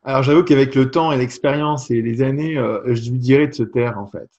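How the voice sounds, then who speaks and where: A man's voice: medium pitch, fairly quick, a little mellow, lo-fi sound. A man hosting an interview, asking questions with some hesitation and many fillers. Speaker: interview host.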